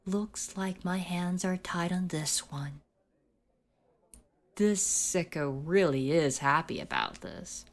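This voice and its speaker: A woman playing a haughty, sadistic school bully in an ASMR roleplay, with a formal, condescending and taunting manner. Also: on the low side. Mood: taunting, contemptuous.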